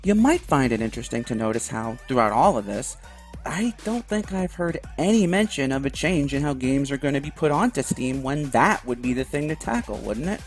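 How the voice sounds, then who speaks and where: Animated man giving opinion commentary. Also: mid-range voice, a little fast. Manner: emphatic, wry, occasionally sarcastic. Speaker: video commentator. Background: background music.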